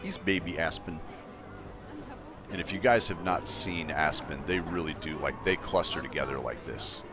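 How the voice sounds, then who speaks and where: A man with a deep voice casually chatting to his viewers as he builds in a game livestream, thinking aloud in an easygoing way. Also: normal speed, over music. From Colorado, USA. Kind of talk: casual gameplay livestream chat.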